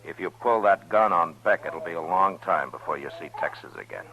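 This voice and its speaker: An actor playing a stern frontier marshal in a radio western, with an American accent and blunt, laconic authority. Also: male voice, low voice, lively intonation, fairly quick, gravelly, bright, forceful tone, heavily acted. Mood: commanding, urgent. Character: stern, steady frontier US marshal of Dodge City. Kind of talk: 1950s radio western acting. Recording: muffled old-time radio recording.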